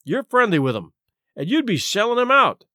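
A man's voice: high voice, sing-song, normal speed, pushed and bright, no room sound, clean studio sound, pitched above the performer's own voice, full character voice. A man voicing a curt, suspicious police desk sergeant in an audiobook story, brusque and dismissive. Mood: curt, scornful.

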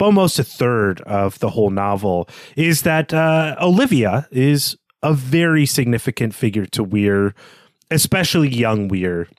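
A man's voice: mid-range voice, no echo, studio quality. A man co-hosting a podcast, talking through a literary discussion in an analytical, explanatory way with clarifying asides. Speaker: podcast co-host.

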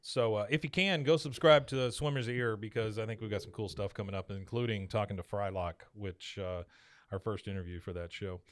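A man's voice: fairly low pitch, fairly quick, no echo. A man co-hosting a podcast, speaking in a casual, conversational style to listeners. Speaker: podcast co-host.

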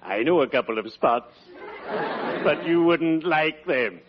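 An actor playing a courtly, friendly undertaker in 1940s radio comedy, solemn and pompously formal with a macabre wit. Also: male voice, fairly high pitch, expressive, measured pace, dull audio, crowd noise. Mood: courtly advising. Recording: noisy old radio recording.

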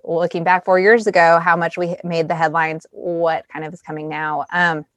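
A woman's voice: lowish voice, flat delivery, normal speed, fairly bright tone, no echo. A woman hosting a conversational news podcast, commenting and asking questions as an editor.

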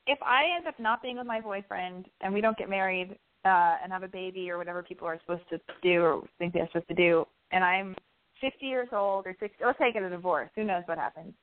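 A woman's voice: lowish voice, fast. A woman hosting a casual chat, candid, joking and freely profane. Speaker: host. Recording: thin, phone-like narrowband sound.

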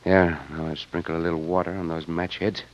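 A man's voice: deep voice, level tone, brisk, lightly acted. A man playing a bold, resourceful Mexican cowboy adventurer in a Western radio drama, with a Mexican accent and confident, formal phrasing. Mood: brisk. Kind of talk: 1950s Western radio acting. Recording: muffled old radio recording, faint noise.

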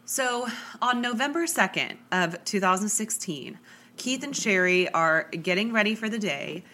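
A woman's voice: medium pitch, expressive, a little slow, pushed and bright. A young woman with a cold, hosting a true crime podcast in a chatty style with many fillers. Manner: chatty, lots of likes and ums. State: congested with a cold. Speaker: podcast host.

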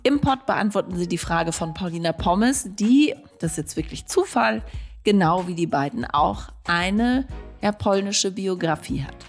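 Female podcast host, mid-pitched, friendly and explanatory, light music under the intro. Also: expressive, measured pace. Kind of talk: interviewing guests, scripted intro. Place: podcast studio.

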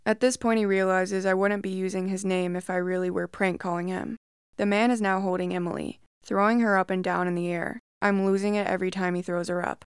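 A woman reads a first-person creepy story at a calm, measured pace, her voice smooth and resonant. She sits close to the mic in a dry room with no echo around her. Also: mid-range voice. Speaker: story narrator.

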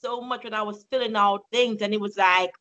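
A woman's voice: mid-range voice, a little fast, highs cut off. A woman from Jamaica, telling a personal story in a casual chat with friends. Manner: storytelling, expressive. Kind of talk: casual group discussion.

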